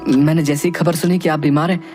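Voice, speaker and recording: A man playing a curious, earnest young reporter and dutiful grandson in a cartoon, musing aloud briskly. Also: on the high side, little pitch movement, darkish tone, faint music behind, lightly acted. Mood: apologetic, concerned. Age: young adult.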